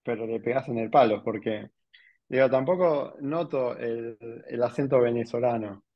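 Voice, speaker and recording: A man's voice: mid-range voice, normal speed. Argentine man hosting, dull lo-fi audio. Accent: Rioplatense Spanish. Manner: musing, rambling questions with many "¿no?". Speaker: podcast host. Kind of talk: casual interview. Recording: dull lo-fi sound.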